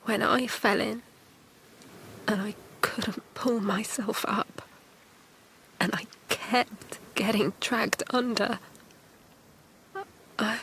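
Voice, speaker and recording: A woman acting a weary, tender mother adrift at sea in an audio drama, with a hushed, breathy delivery. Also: fairly high pitch, a little hiss or hum, full character voice, low-quality recording. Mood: weary, shaken. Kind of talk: audio drama dialogue and first-person narration.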